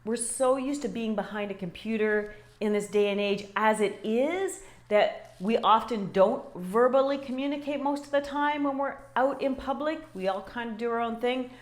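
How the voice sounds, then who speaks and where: A woman with a loud voice, talking casually and directly to her viewers as a wellbeing coach, full of questions for them. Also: on the high side. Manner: chatty, animated, talks straight to viewers with questions. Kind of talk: casual direct-to-camera talk.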